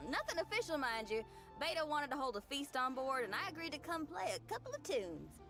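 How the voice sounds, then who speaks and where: A young musician in a video game, upbeat and easygoing. Her voice is high and perky with a casual delivery. Music is clearly audible behind her. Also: sing-song, fairly quick. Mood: cheerful. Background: clear background music.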